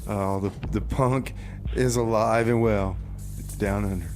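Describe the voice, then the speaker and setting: A man's voice: on the low side, steady tone, unhurried. A man hosting a community radio music show, chatting casually between songs and comedy bits. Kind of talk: casual on-air DJ chat.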